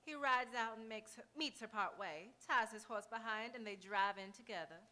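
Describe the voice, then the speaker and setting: A woman's voice: a little high, normal speed, pushed and bright. An actress playing a shrewd, chatty townswoman in a live radio Western, with a knowing, pointed manner. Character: shrewd, sociable frontier townswoman, the marshal's confidante. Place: auditorium stage, live radio theatre performance.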